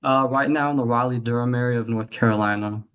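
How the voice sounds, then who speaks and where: Man, 30s, mid pitch, relaxed, brief answers, video call. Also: fast, clean tone, narrow, tinny audio. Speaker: contestant. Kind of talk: casual chat.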